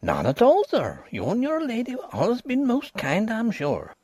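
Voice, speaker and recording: A man reading an audiobook, voicing a placid, superstitious old peasant housekeeper in rustic dialect, with a husky voice. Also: very high-pitched, huge pitch swings, normal speed, darkish tone, no echo, pitched above the performer's own voice, heavily acted. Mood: evasive. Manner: rustic dialect grammar, grave and ominous. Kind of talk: audiobook character voice.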